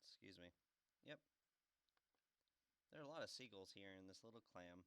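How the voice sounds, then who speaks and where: A man's voice: deep voice, lively intonation, normal speed, no room sound. A man giving casual video game commentary as he plays. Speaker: video game let's-player. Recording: game music playing loudly behind voice. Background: orchestral video game music.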